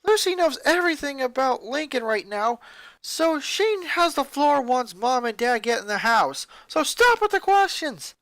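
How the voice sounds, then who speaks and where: A reader voicing a hot-tempered, brash thirteen-year-old athletic girl in a story, high-strung and pushy. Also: very animated, measured pace, a bit bright, no room sound, heavily acted. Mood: commanding.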